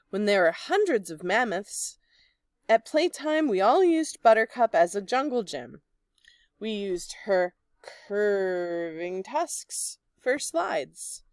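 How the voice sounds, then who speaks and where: A woman reading a children's picture book aloud in an expressive, deliberate storytelling manner. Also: mid-range voice, no echo. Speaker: storybook reader.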